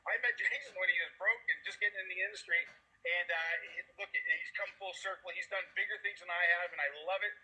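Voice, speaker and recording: Casting: fast-talking Boston man hosting a video call, upbeat and rambling. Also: high voice, fairly even intonation, pushed and bright, rough recording. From Massachusetts, USA. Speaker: host introducing speakers on a video call. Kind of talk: enthusiastic motivational pitch.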